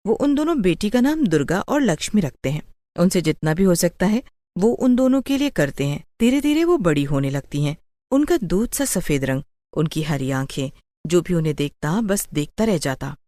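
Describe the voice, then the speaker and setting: Lively-pitched woman storyteller. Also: moderate pace, dry recording. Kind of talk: scripted story narration.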